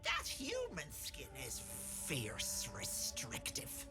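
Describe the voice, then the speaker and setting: A woman's voice: deep voice, wildly swooping pitch, very slow, over loud music, heavily acted. A woman voicing a sly old hag who poses as a doting auntie in a video game, with an Irish accent and sugary endearments edged with menace. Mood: gloating, menacing. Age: older. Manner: cloying endearments turning to menace. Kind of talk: theatrical video game acting.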